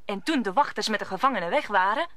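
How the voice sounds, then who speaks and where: A woman's voice: mid-range voice, expressive, fast talker, pushed and bright, no echo. A woman narrating a radio play in a scripted storybook style.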